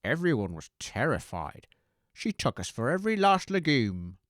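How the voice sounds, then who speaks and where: A man playing a nervous, accusing bank manager in a comedy audio sketch, with a dramatic, deliberate delivery. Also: medium pitch, sing-song, a bit bright, no echo, clean studio sound.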